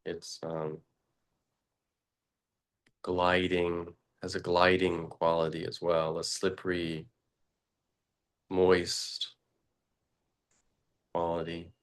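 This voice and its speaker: A man leading a guided meditation, speaking slowly and haltingly with long pauses and fillers. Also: clear, resonant tone. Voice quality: very low, flat voice. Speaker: meditation teacher.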